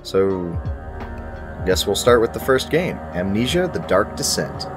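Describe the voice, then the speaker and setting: A man's voice: fairly low pitch, sing-song, measured pace, music in the background. A man in his thirties giving a scripted, dryly humorous video game review to camera.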